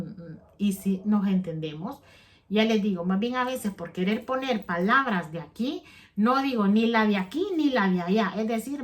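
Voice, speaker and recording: A middle-aged woman chats to camera for her vlog. She rambles warmly, restarts her sentences often, and keeps turning to her viewers directly. Her pitch sits in an ordinary middle range. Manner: rambling, chatty, self-correcting. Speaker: vlogger. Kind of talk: casual chat to camera.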